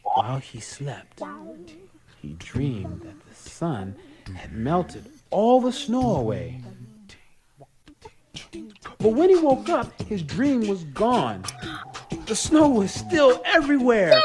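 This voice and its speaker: A woman pre-K teacher giving an expressive read-aloud lesson, drawing out key words and putting guiding questions to young listeners. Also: sits high. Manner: drawn-out emphasis on key words.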